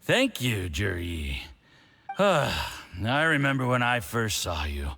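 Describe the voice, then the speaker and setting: An actor playing a boastful, tipsy, scheming villain in a video game, with a condescending, expansive manner. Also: male voice, on the low side, wildly swooping pitch, slow, vocal fry, pushed and bright, in a large hall, heavily acted. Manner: condescending, expansive, drunken joviality. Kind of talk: theatrical video game voice acting.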